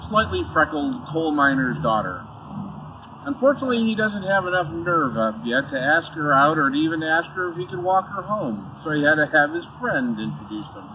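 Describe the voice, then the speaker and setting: A man presenting a scripted introduction in a storytelling style, as the host of a tribute program. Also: high voice. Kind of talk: scripted narration, animated storytelling. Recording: distant mic, clear background noise.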